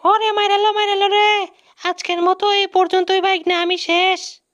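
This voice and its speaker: A cartoon mouse uncle who speaks very high and close in a colloquial Bangladeshi accent. He is bossy and boastful, and gets flustered easily, repeating himself. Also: normal speed, no echo. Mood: alarmed. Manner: excitable, repeats words when panicking. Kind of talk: comic cartoon dub.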